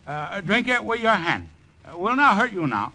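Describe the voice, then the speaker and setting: An actor playing a stoic village guide in an old radio drama, in clipped broken English. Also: male voice, high-pitched, very animated, moderate pace. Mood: calm, instructive. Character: calm, capable male jungle villager guide, stoic and practical. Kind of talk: 1940s-50s radio drama acting. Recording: noisy vintage radio recording.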